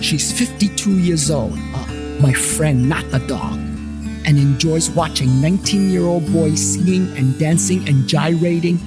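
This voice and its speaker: A man's voice: a little high, a little slow. Wry male storyteller over prominent guitar music. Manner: wry, irreverent, rambling asides to the listener. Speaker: storyteller narrator and host of a paranormal investigations series. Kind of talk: first-person comedic scripted storytelling. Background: plucked guitar music.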